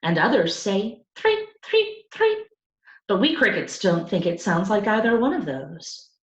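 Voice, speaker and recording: A woman's voice: medium pitch, sing-song, measured pace, echoey room, highs cut off. A woman voicing a timid, earnest young male cricket from the country in a storybook reading, with a hesitant manner. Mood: earnest, explaining.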